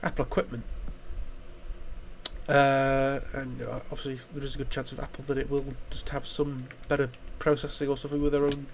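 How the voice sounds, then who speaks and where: Hoarse British man rambling over gameplay. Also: medium pitch, monotone, brisk, a little hiss or hum. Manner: rambling, swears often, frequent restarts. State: hoarse from a chest infection. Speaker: gaming YouTuber. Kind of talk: casual commentary while playing a game.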